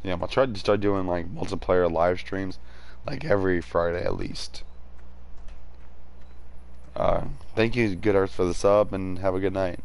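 A man's voice: normal speed, pauses often, darkish tone. A man with a sore throat, chatting casually and rambling with many fillers while livestreaming a game. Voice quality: deep and gravelly. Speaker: streamer.

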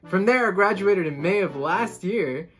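A man's voice: high-pitched, lively intonation, a little slow, faint music behind. A Colombian-born young man in his twenties, a saxophonist vlogger, chatting casually to the camera from a room at home and gesturing with both hands. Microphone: camera in front, seated.

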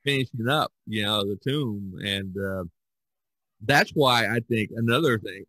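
Guy on a podcast, bright voice, rambly stop-start storytelling, really dry sound. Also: lowish voice, dry recording, low-quality recording. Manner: halting, repetitive storyteller. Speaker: podcast co-host. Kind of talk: casual art discussion.

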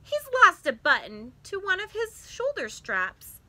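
A woman's voice: very high-pitched, wildly swooping pitch, a little slow, sharp tone, no room sound, voice pushed up, full character voice. A woman voicing a practical, weary mother in a children's storybook, firm and exasperated. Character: weary, practical mother refusing her daughter. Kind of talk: children's storybook read-aloud.

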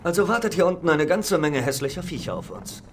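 An actor playing a young, wisecracking soldier squadmate in a video game. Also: male voice, fairly high pitch, big pitch swings, quick pace, a little hiss or hum. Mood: wry. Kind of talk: video game voice acting, German dub.

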